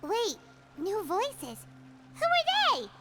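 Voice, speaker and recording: A woman voicing a tiny, plucky, excitable pixie sidekick in a fantasy video game. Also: slow, loud music behind the voice, heavily acted. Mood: curious. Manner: squeaky, excitable.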